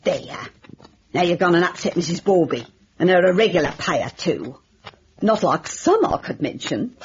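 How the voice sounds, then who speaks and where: A woman's voice: lowish voice, sing-song, normal speed. An actress playing a grumbling, put-upon London landlady in a radio drama, scolding and complaining with a working-class London accent. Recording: noisy, dull old radio recording.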